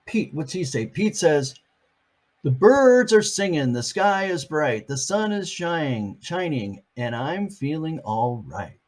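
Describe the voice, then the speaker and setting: A man's voice: on the high side, big pitch swings, slow pace. An older man reading a picture book aloud to his grandchildren, adding chatty, playful asides between pages.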